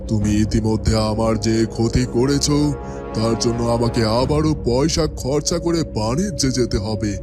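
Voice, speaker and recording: A man's voice: mid-range voice, normal speed, music in the background, lightly acted. A man playing a middle-aged fatherly elder. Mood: stern.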